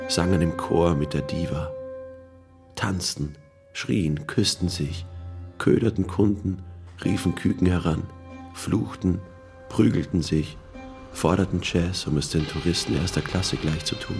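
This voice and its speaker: Deep-voiced storyteller, faint music. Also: male voice, a little slow, creaky, pauses often, a little mellow. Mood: observing. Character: first-person narrator of a gritty urban tale. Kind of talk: literary radio play narration.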